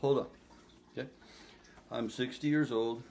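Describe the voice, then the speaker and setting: Sixty-year-old man, casual profane vlogger, mid-pitched voice, lively swings in emphasis, frequent "okay?" asides. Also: unhurried, creaky, a little hiss or hum. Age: 60s. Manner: blunt, profane, animated, frequent "okay?" check-ins. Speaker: fitness vlogger. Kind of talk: casual vlog to camera.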